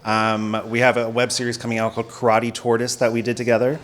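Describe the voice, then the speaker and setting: A man's voice: medium pitch, monotone, quick pace, fairly bright tone. A middle-aged American man from Florida, a film producer speaking casually as an interview guest, often checking in with "right?". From Florida, United States. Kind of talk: casual panel talk.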